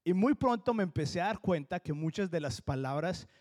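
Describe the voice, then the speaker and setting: A Colombian-accented man delivers a sermon with earnest emphasis. His voice sits a little high for a man. He slows right down to lean on the key words. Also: expressive, darkish tone. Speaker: pastor.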